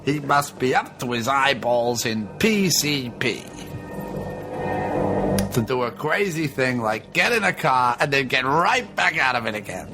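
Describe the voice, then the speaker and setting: A man's voice: medium pitch, animated, unhurried, over music. Joking man riffing on a movie. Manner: joking, riffing, mock-quoting characters. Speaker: podcast co-host. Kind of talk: casual movie commentary with jokey put-on voices.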